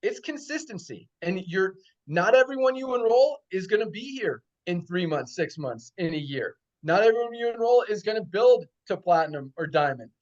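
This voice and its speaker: A man's voice: high voice, animated, normal speed. A man hosting a company call, enthusiastic and full of praise, talking conversationally. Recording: dull lo-fi call audio.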